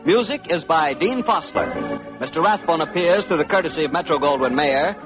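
A male radio announcer of the 1940s with a high-set voice. The vintage broadcast sounds dull, with music playing behind him. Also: animated, moderate pace.

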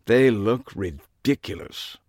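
A man's voice: fairly low pitch, lively intonation, slow pace, gravelly, a little mellow, dry recording, studio quality, exaggerated voice. A man voicing a tall, eccentric, disdainful British journalist in an audiobook, with an affected, pompous accent.

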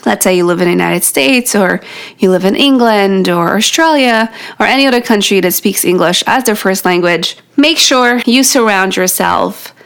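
A woman's voice: mid-range voice, normal speed, vocal fry, fairly bright tone, clear, resonant tone. A woman in her thirties with a New York accent, a native Polish speaker, hosting a solo podcast and encouraging listeners directly while a little out of breath. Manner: encouraging, talks straight to the listener. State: out of breath from pregnancy. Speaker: podcast host. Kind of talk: solo podcast teaching tips.

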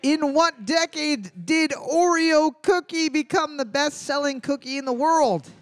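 A man's voice: very high voice, no echo, clean studio sound. A man hosting a live trivia night, reading questions and spelling out answer choices letter by letter, joking between rounds. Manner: animated, spells out answers slowly. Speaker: trivia host.

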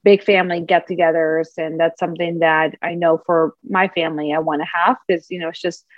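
A woman's voice: on the low side, flat delivery, fairly quick, no echo. A woman from Peru, a career coach, answering interview questions in an earnest, reflective way. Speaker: career and executive leadership coach. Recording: dull lo-fi dry sound.

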